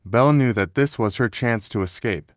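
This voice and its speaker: A man narrating a scripted children's story in a measured, dramatic storytelling manner. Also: medium pitch, no room sound, clean studio sound.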